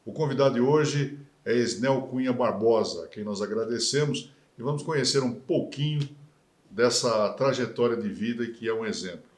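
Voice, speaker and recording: A Brazilian man hosts a television interview in a measured, emphatic announcer's manner and pauses for effect. His voice sits at an ordinary pitch. The studio adds a noticeable echo. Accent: Brazilian Portuguese. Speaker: TV interview host. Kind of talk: formal TV interview.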